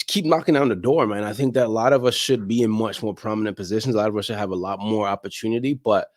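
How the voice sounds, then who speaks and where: A man's voice: fairly low pitch, quick pace, dry recording, clean studio sound. A middle-aged American man chatting casually as a podcast guest, using slang and repeating phrases for emphasis. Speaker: interview guest, soccer media personality.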